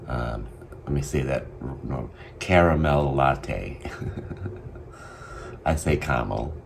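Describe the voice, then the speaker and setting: Very deep-voiced man with a Boston accent. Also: gravelly, a little hiss or hum. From Massachusetts. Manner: halting, many ums, soft-spoken and candid. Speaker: vlogger. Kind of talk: casual daily video diary.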